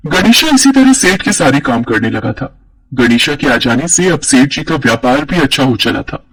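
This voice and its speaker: A man's voice: mid-range voice, sing-song, normal speed, fairly bright tone, breathy edge. A man narrating a scripted cartoon story as a storyteller.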